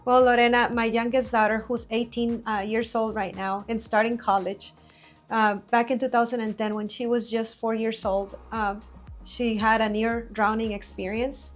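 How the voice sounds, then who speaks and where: A woman in her forties from Mexico, a business owner answering interview questions in an earnest, measured way with frequent fillers, recorded in a studio. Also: a little high, flat delivery, soft background music.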